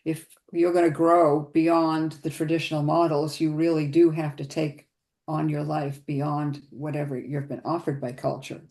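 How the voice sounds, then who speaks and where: A woman's voice: low-pitched, flat delivery, moderate pace, a little mellow. A woman hosting a webinar who speaks conversationally and often murmurs agreement. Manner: conversational, with frequent agreeing interjections. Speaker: webinar host. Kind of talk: casual conversation.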